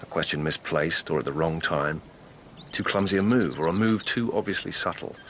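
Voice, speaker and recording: A man playing a probing, grave investigating lieutenant at an imperial court in a radio drama, speaking in a measured, serious way. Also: low voice, a bit bright, a little hiss or hum. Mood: grave, cautioning. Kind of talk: 2000s radio drama acting.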